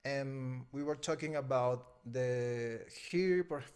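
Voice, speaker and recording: A Venezuelan man in his thirties, a native Spanish speaker, talking hesitantly in an interview and often pausing to find his words. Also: medium pitch, darkish tone. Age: 30s. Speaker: interview guest, film editor. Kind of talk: casual interview conversation.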